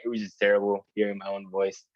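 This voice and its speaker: Reflective young man, low voice. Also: completely flat delivery, fast, no echo, lo-fi sound. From northern New Jersey. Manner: reflective, hesitant, filler-heavy. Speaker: podcast co-host. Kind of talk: casual chat.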